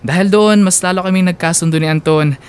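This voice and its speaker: Male Filipino radio storyteller, first-person narration, smooth tone, a bit high in pitch. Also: fast. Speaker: radio host reading a listener's love story.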